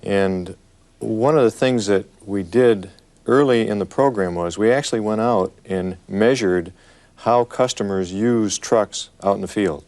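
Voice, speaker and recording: A man's voice: on the low side, a little slow, a little mellow. An American man speaking as an engineer in a panel discussion, explaining his work with emphatic repetition. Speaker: truck engineer. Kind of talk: panel discussion about product development.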